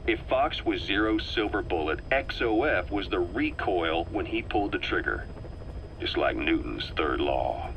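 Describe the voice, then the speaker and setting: An actor playing a grave middle-aged intelligence operative in a video game, delivering a measured, deliberate, serious briefing. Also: male voice, low voice, bright, forceful tone, slight background noise, full character voice, low-quality recording. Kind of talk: dramatic scripted briefing narration.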